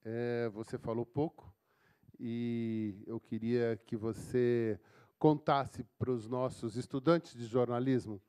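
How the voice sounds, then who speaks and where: A man moderating a university panel discussion, prompting his colleague to speak in a measured way. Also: mid-range voice, steady tone, mellow, smooth.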